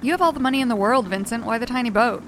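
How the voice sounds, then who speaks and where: Woman acting a wry, high-voiced biographer. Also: expressive, very fast, a little hiss or hum, natural voice. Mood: wry, skeptical. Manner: conversational, hesitant. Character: female biographer, candid, wry, a little hesitant. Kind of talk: audio drama dialogue.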